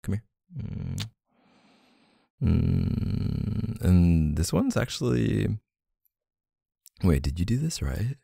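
Man acting as deep, gravelly, teasing boyfriend. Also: expressive, no echo, clean studio sound, exaggerated voice. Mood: playful, focused. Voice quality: low, gravelly, soft. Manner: teasing, murmuring, hesitant pauses and hums. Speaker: audio roleplay performer. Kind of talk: intimate ASMR boyfriend roleplay.

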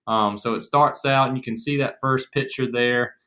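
Young American male, casual agricultural educator, bright forward voice, mid pitch. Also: steady tone, normal speed. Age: young adult. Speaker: agriculture extension agent, co-presenter. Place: small room at home, indoors.

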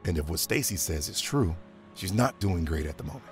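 An actor voices a world-weary policeman in an audio drama. His low voice is dry and sardonic, with prominent music playing under the dialogue. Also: animated, brisk. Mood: protective. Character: world-weary, dry-witted, loyal male police detective.

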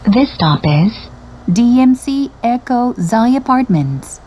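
A woman reading scripted bus stop announcements in a formal, measured, carefully enunciated way. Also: a little high, animated, mellow. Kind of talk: scripted public transit announcement. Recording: dull, noisy bus speaker capture. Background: bus engine and cabin noise.

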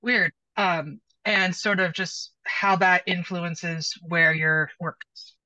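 A woman's voice: lowish voice, steady tone, slow, sharp tone, dry recording, dull audio. A woman in the audience asking a question, informal and candid.

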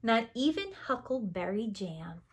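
Woman voicing a high, plaintive clam lady. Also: very animated, unhurried, husky, exaggerated voice. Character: toothless female clam, plaintive storybook creature.

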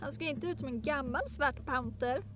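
Young lion prince played by a woman: childlike, earnest, kind, high voice, music underneath. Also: natural voice. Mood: pleading. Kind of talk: cartoon fan dub acting. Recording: music behind the voice.

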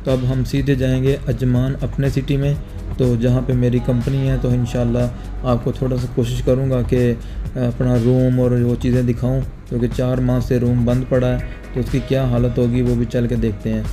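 A young man from Pakistan narrating a casual travel vlog at night outside an airport, talking calmly to viewers through a phone held at arm's length. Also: mid-range voice, moderate pace, music in the background. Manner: calm, explanatory, talks to viewers directly. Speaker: travel vlogger. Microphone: phone camera held at arm's length.

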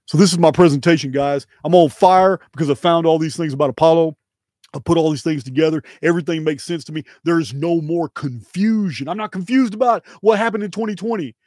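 A man's voice: a little high, fairly quick, darkish tone, no room sound. A middle-aged man delivering an impassioned, preachy monologue to his viewers, repeating phrases for emphasis into a close studio mic. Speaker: channel host. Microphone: close studio microphone on boom arm.

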